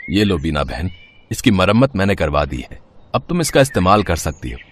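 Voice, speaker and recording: A man playing a humble, kindly, brotherly vendor in a moral story, with a mild, low-key manner. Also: very animated, brisk. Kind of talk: animated moral-story dialogue.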